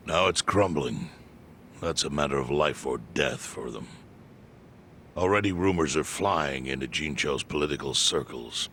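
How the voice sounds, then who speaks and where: A man voicing a calm, all-knowing clan chairman who speaks in a measured, grave way. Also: very deep voice, a little hiss or hum, heavily acted.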